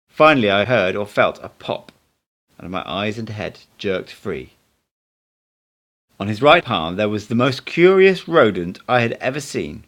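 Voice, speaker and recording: A man's voice: lowish voice, fairly bright tone. A man reading a book aloud as scripted narration, as an amateur audiobook reader.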